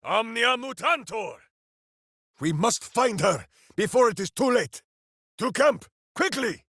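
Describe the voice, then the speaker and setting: An actor playing a big, hearty, simple-hearted heroic warrior in a fantasy video game, earnest and grandiose in a theatrical way. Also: male voice, very high voice, animated, slow, bright, forceful tone, husky, dry recording, clean studio sound, exaggerated voice. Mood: shouted incantation, then rousing. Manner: boisterous, earnest, grandiose, speaks of himself in third person.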